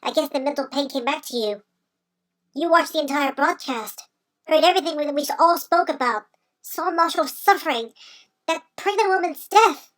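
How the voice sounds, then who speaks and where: Casting: woman playing small girl pup, grave and empathetic, high-pitched, fan audio drama. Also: moderate pace, fairly bright tone, low-quality recording. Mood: grave concern. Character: serious, empathetic young female pup named Sky. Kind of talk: amateur audio drama acting.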